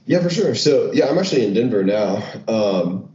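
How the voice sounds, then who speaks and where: Male podcast guest, mellow tone, laid-back casual chat, echoey muffled recording. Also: fairly low pitch, big pitch swings, normal speed. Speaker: podcast guest, retreat founder. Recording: roomy, muffled, faint background noise.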